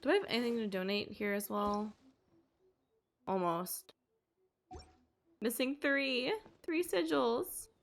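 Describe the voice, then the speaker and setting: A woman's voice: on the high side, lively intonation, slow, pauses often. A woman chatting casually as she livestreams a game, with a cutesy, playful manner. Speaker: game streamer. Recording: game music behind voice.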